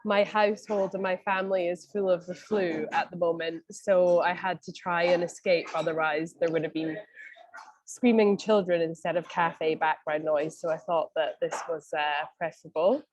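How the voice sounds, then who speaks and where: A woman's voice: fairly low pitch, fairly even intonation, moderate pace, a little mellow. A young woman with a Scottish accent giving a chatty presentation on a video call from a cafe, with plenty of ums and restarts. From Scotland. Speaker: guest speaker, senior advisor.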